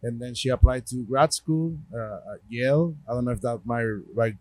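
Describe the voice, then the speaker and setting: A man's voice: medium pitch, normal speed, dry recording. A middle-aged man with a Puerto Rican accent, a gallery owner chatting in an interview, rambling with many restarts and fillers. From Puerto Rico. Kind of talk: casual interview talk.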